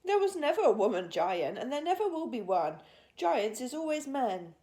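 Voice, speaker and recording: A woman's voice: sits high, big pitch swings, normal speed, warm tone, pitched above the performer's own voice. A woman voicing a big, friendly old male giant from a children's storybook, speaking emphatically in mangled grammar with invented words. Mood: amused, assertive. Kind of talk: children's storybook character reading.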